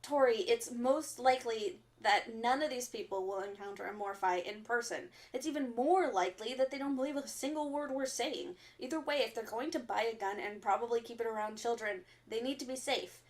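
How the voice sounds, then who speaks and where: A woman playing an earnest, stern resistance fighter who delivers solemn, deliberate warnings in a radio drama broadcast. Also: a little high, lightly acted. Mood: stern, instructive. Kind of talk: audio drama acting as underground radio broadcast.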